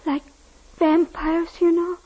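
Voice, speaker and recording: High-voiced, timid, worried maid. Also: female voice, flat delivery, takes it slowly, warm tone. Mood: timid, uneasy. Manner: tentative, deferential, hesitant. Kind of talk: 1940s radio drama acting. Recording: muffled old 1940s radio recording.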